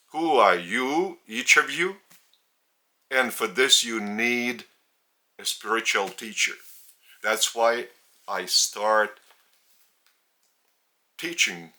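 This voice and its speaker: A man with a Lithuanian accent, a native Lithuanian speaker, giving a spiritual teaching in a deliberate, halting way with emphasis on key words. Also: medium pitch, sharp tone. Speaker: spiritual teacher and religious leader. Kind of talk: spiritual sermon addressed to camera. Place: indoors.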